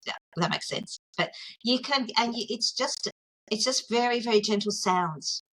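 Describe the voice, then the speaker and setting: An Australian woman, a mindful arts therapist, chatting as an interview guest in an earnest, gently enthusiastic way, often pausing to find her words over a video call. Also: fairly high pitch, slight background noise, low-quality recording. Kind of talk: casual interview chat.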